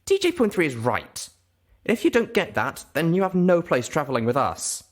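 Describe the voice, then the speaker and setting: Fiery little storybook unicorn heroine, performed by a man: pitched up, emphatic, indignant, fiercely loyal. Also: wildly swooping pitch, moderate pace. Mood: angry, indignant. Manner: emphatic, spitting words. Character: small young female unicorn pony, indignant and fiercely loyal.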